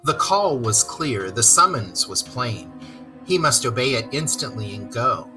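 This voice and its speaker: Animated storybook narrator over music. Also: mid-range voice, measured pace, low-quality recording. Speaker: storyteller reading aloud to children. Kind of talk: expressive scripted storybook narration with asides.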